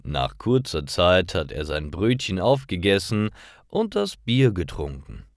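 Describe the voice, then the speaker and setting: A man reading scripted stories aloud for language learners in a careful, deliberate manner. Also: deep voice, very animated, no echo, clean studio sound.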